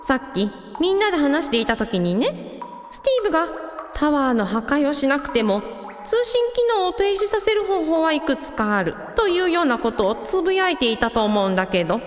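A woman playing a practical, gently inquisitive mother, casual and thinking aloud, in a radio drama. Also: high-pitched, very animated, brisk. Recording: echoey hall reverb, faint music behind.

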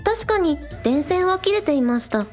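A woman's voice: extremely high pitch, expressive, normal speed, faint music behind. A woman voicing a spirited, earnest young shrine maiden and village idol in a radio drama.

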